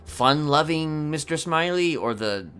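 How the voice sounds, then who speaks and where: Man playing halting, lively-pitched reforming criminal. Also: clean tone. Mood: hesitant, earnest. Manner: halting, stammering. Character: murderous criminal in prison, conflicted, trying to reform. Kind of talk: improvised online roleplay.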